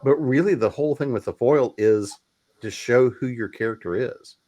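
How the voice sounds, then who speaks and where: A man's voice: medium pitch, normal speed, dark tone. A man speaking as an author panelist in a loose, conversational way. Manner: loose, conversational, with fillers and restarts. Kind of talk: casual panel discussion.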